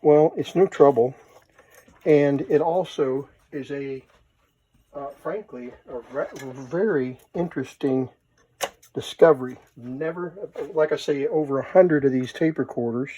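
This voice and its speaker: Guy doing a casual hobby demo, medium pitch, talking through it as he tinkers. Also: unhurried, warm tone, breathy edge, dull audio. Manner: unscripted, thinking aloud while working. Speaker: vintage tape recorder hobbyist, presenter. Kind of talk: casual hands-on demonstration.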